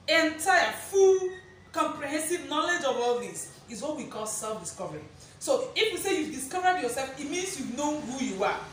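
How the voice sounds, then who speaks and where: A woman with a loud, projecting voice giving a motivational teaching talk, emphatic, repeating key phrases and putting rhetorical questions to listeners. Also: high-pitched. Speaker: motivational speaker and presenter. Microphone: distant camera mic, no microphone on speaker. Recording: echoey room, distant mic, faint noise.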